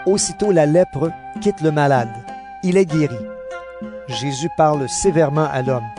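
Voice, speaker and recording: A man's voice: on the high side, unhurried. A man reading scripted narration for a dramatized audio Bible. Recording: narration over clear background music.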